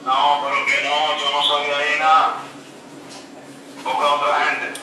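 A man's voice: a little high, monotone, measured pace, bright, forceful tone, distant mic. A young man on a phone call, giving short, hesitant, guarded answers. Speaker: prank call victim, singer. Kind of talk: phone conversation. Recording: phone speaker in an echoey room, noisy.

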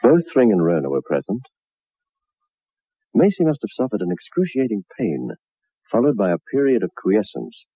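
An actor as a sardonic storyteller of macabre tales, narrating a vintage radio horror drama in a measured, suspenseful manner. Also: male voice, on the low side, big pitch swings, husky, no room sound, heavily acted. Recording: narrow-band vintage 1960s radio recording.

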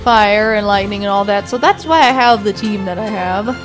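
A woman's voice: mid-range voice, moderate pace, rough recording. A young woman giving casual gameplay commentary, chatty and talking at the characters, her voice a little off from allergies. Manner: chatty, talks to the game characters, mild exclamations. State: voice slightly off from allergies. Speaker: let's play gamer. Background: game music.

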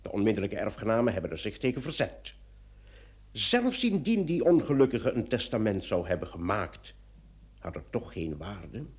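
Brief: self-assured deducer, warm dark voice, emphatic and very lively, radio drama. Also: male voice, on the low side, moderate pace. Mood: emphatic, reasoning. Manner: emphatic, reasoning. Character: methodical, self-assured man reasoning out a murder. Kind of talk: old Dutch radio play acting.

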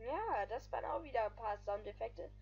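A woman's voice: a little high, lively intonation, fairly quick, darkish tone, breathy edge, muffled recording. A woman giving casual, rambling voice-over commentary on her gaming video, stringing thoughts together with frequent filler phrases.

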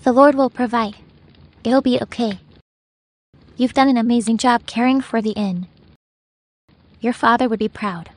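Man doing a young woman, high voice, gentle, earnest, storybook. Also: steady tone, normal speed, long pauses, no room sound.

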